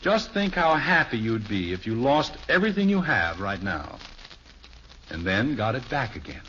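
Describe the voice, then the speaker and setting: A cheerful suburban father in a vintage radio comedy. His voice is bright and swoops widely, expansive and sentimental. The recording is dull and band-limited with faint noise. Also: medium pitch, sing-song, normal speed. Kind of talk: 1950s radio sitcom acting.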